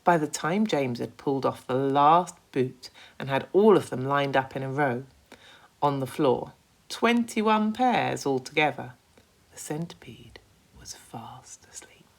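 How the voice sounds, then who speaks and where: Low-voiced, slow, expressive woman storyteller. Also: gravelly. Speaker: primary school teacher reading aloud. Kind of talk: expressive children's story narration.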